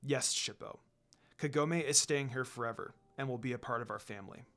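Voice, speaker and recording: Brief: man voicing composed dog-demon lord, soft and low, gentle. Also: fairly quick, close to an everyday voice. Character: calm male dog-demon lord, adult.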